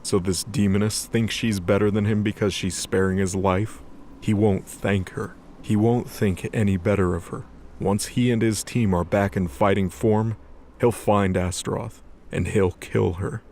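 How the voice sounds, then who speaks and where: A man's voice: fairly low pitch, fairly even intonation, unhurried, a little mellow, slight background noise. A man giving scripted third-person narration as the storyteller of an audio drama.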